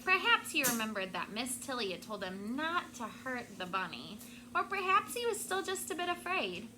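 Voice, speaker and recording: A woman's voice: fairly high pitch, moderate pace, a little hiss or hum, rough recording. Woman teacher reading a story aloud. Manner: expressive storytelling, stops to explain words. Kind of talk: reading a picture book aloud to a class. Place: classroom.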